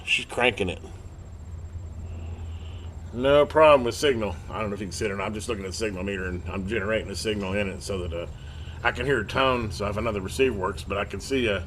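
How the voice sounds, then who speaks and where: A man's voice: medium pitch, fairly bright tone. A man giving a casual hands-on repair demonstration, informal and folksy, thinking aloud as he works. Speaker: repair hobbyist presenting a video. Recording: clearly noisy amateur workbench video.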